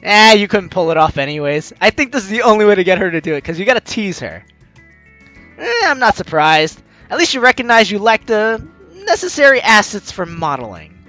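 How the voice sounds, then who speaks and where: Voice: medium pitch, sing-song, a little fast, bright, forceful tone. A let's player giving jokey, teasing commentary and reading a game's story aloud, with game music behind. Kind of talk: let's play commentary and read-aloud narration.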